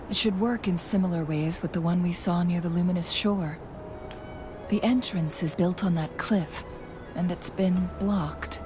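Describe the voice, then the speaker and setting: An actress gives voice to a thoughtful young woman who travels beside the hero. Her voice sits on the low side and she talks in a measured, musing way, often dropping into a soft hush. Game music plays clearly behind her. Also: close to an everyday voice. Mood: instructive. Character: thoughtful young woman companion, earnest, curious. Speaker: game companion character. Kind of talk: scripted game dialogue.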